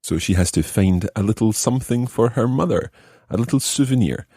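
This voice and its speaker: A man's voice: on the low side, lively intonation, normal speed, dark tone, slightly rough, no room sound, studio quality. A man teaching a language lesson, explaining and translating phrase by phrase with careful enunciation. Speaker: language teacher, podcast host.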